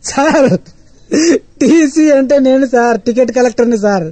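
An actor playing a harried train ticket collector, exasperated and pleading with passengers. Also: male voice, very high voice, normal speed, warm tone, dry recording. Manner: exasperated, pleading, formal address.

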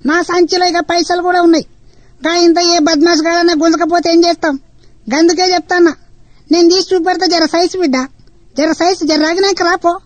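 Lady doing a rapid old telangana granny, squeaky high and rambling, dry close sound. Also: level tone, dry recording. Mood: fussy, doting. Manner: fast, fond and rambling, drawn-out dialect. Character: chatty old village woman, fussy and wary.

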